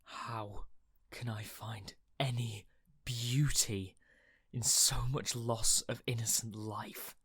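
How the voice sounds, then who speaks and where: A woman's voice: very deep voice, steady tone, slow pace, a bit bright, slightly rough, exaggerated voice. A woman in a radio play playing an earnest, emotive, idealistic conservationist. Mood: distraught. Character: Alex, earnest, idealistic conservationist, friendly but distressed by destruction.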